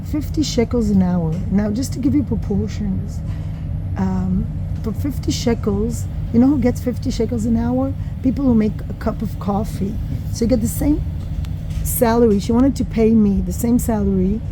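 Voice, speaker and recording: A middle-aged woman, a drama and yoga teacher, giving a frank, opinionated monologue as she records on her phone. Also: moderate pace. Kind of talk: casual monologue vlog. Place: inside a moving train. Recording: train rumble in the background. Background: train and vehicle noise.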